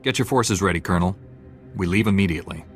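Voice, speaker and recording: An actor playing a male Jedi Knight hero in a game cutscene, speaking in a steady, commanding way. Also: sits low, fast talker, close to an everyday voice. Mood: resolute. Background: game music.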